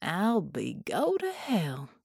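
A woman's voice: lowish voice, animated, moderate pace, a little mellow, no echo, studio quality. Woman acting a folksy young male ghost. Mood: amazed. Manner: folksy, colloquial grammar.

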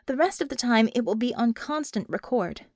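A woman voicing a small young female magician-technician who explains things in a brisk, businesslike way, in an audiobook. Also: fairly high pitch, lively intonation, a little mellow, dry recording, clean studio sound. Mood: matter-of-fact. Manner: matter-of-fact, businesslike, explanatory.

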